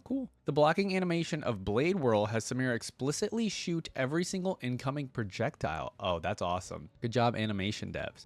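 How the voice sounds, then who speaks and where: A man's voice: medium pitch, no echo. A man hosting a reaction video, talking casually and giving opinions between bits of text read aloud. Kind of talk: casual reaction commentary mixed with reading wiki text aloud.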